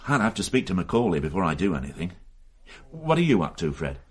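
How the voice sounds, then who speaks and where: An actor playing a dogged, excitable police detective in a radio mystery drama, reasoning aloud with emphatic insistence. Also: male voice, low-pitched, normal speed, slightly rough, exaggerated voice. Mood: grave, resolved. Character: dogged male police detective, excitable, sure of his theory. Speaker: investigator.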